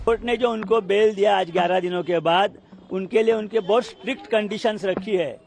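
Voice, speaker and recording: A man's voice: high voice, fairly quick, gravelly, faint music behind. A man explaining legal matters, mixing English words into his speech, recorded outdoors. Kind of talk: explaining court conditions.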